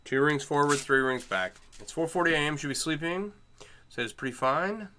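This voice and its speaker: A man's voice: fairly high pitch, lively intonation, normal speed, bright, forceful tone. A middle-aged man chatting casually on a livestream while he works on chainmail, greeting and answering his viewers. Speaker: chainmail maker streaming live.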